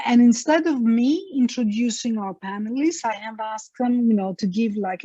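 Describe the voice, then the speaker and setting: A woman's voice: medium pitch, mellow, dry recording. A woman moderates an online panel, her voice dry and dull as it comes through a video call. She halts and repeats herself as she searches for words.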